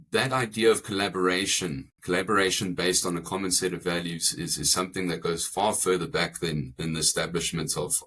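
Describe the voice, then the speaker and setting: A man's voice: deep voice, bright, forceful tone, husky, low-quality recording. A man with an English South African accent, a family insurance broker speaking thoughtfully in an interview and often repeating words as he builds his point. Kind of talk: interview conversation.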